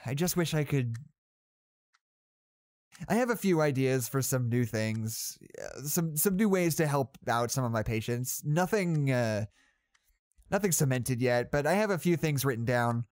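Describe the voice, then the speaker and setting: Audio drama role, male actor: well-meaning male psychologist, nervous, halting, forcedly upbeat, swinging intonation, dry close sound. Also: mid-range voice, lively intonation, a little mellow, dry recording, close to an everyday voice. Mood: nervous optimism. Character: anxious, rambling, well-meaning male psychology department head. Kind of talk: naturalistic audio drama monologue, spoken log.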